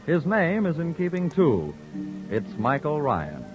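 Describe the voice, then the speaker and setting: A male radio announcer giving formal, measured broadcast credits and introductions. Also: fairly high pitch, huge pitch swings. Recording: dull old radio broadcast with music.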